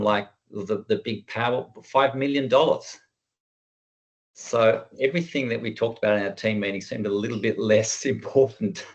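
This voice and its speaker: A man's voice: lowish voice, highs cut off. A man giving an informal online presentation as a workshop presenter, in a conversational, self-deprecating way. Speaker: workshop presenter, working group chair. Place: online video call.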